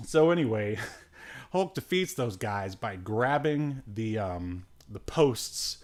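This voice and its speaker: A man's voice: on the low side, lively intonation, slow pace, a little mellow. A man hosting a podcast, recapping in casual chat with a rambling, jokey, irreverent manner and offhand, slangy phrasing. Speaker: podcast host.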